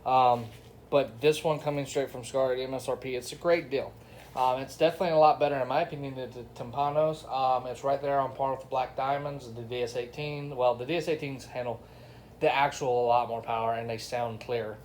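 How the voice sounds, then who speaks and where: A man's voice: medium pitch, steady tone, fairly quick, darkish tone, a little hiss or hum. A man giving a casual, unscripted product review, talking informally to his viewers. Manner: informal, addresses viewers as y'all and guys.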